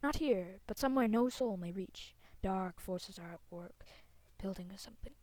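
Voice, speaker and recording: A woman's voice: medium pitch, normal speed, a little mellow, dry recording, pitched below the performer's own voice, close to an everyday voice. A woman voicing an ancient, weary tree spirit, aloof and cryptic, in a fantasy game. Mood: solemn, weary. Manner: solemn, cryptic.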